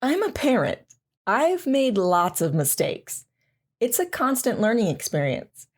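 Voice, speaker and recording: A woman's voice: fairly high pitch, big pitch swings, unhurried, husky, heavily acted. A woman playing a thoughtful, philosophical mother being interviewed on the radio in an audio drama. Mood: reflective.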